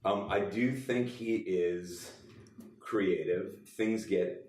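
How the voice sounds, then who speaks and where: Male podcast co-host, deep register, emphatic explainer, recorded off-mic in an echoey space. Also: slow, darkish tone. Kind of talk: casual discussion. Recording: echoey room, distant mic.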